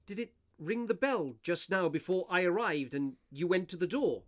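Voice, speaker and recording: An actor playing a practical, sceptical gentleman in a radio ghost story, questioning in a matter-of-fact, doubting way. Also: high voice, animated, normal speed. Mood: probing. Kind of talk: radio play acting of a Victorian ghost story.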